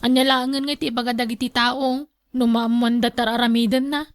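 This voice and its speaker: A woman's voice: a little high, flat delivery, brisk, no room sound, clean studio sound, close to an everyday voice. A woman playing a worried, complaining grown daughter in a radio drama. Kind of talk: Ilocano radio drama acting.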